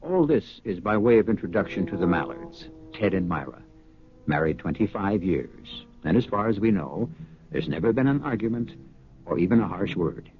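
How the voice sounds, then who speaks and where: A man with a deep, gravelly voice hosting and narrating a 1970s radio drama in a wry, deliberate, theatrical way. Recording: muffled 1970s radio recording.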